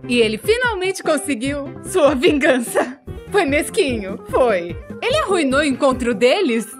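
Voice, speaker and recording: A Brazilian woman tells a scripted story over music. Her voice is high and swoops around expressively, with a chatty, youthful delivery. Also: very animated, a little slow. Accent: Brazilian Portuguese. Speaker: storytelling narrator in a dubbed animation. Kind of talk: animated scripted storytelling narration.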